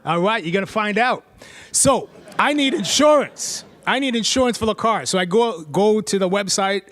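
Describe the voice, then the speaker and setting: High-voiced playful man demoing on stage. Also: expressive, normal speed, fairly bright tone. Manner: playful, chatty, jokes with the crowd. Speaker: presenter giving a tech demo. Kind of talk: live stage demo to an audience. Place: stage at a tech meetup. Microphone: handheld mic.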